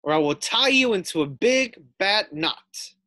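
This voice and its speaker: Storybook guinea pig hero played by a man, small, boastful, excitable, pitched up and swinging widely. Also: unhurried, dry recording, clean studio sound, full character voice. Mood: bold, threatening. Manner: exclamatory, swaggering. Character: boastful little male guinea pig hero, easily alarmed. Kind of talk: children's storybook read-aloud.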